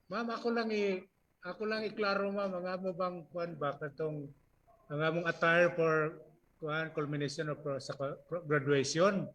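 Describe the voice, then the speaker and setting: A man with a Filipino accent and Cebuano as his native language, asking questions deferentially and haltingly as a meeting participant. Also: a little high, fairly even intonation, fairly bright tone, recorded from a distance, highs cut off. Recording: noisy video call line.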